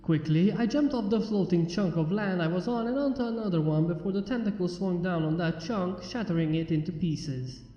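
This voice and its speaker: A man's voice: sits high, brisk, few pauses, warm tone, lo-fi sound. A man reading a first-person fan fiction story aloud as scripted narration. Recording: roomy echo, reverb, music behind. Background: background music.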